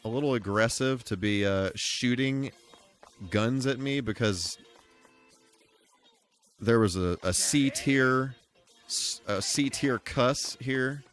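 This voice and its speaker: A man's voice: mid-range voice, slow pace, long pauses, fairly bright tone. A man giving casual live commentary while playing a video game, full of mild folksy exclamations and mock-exasperated asides. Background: music.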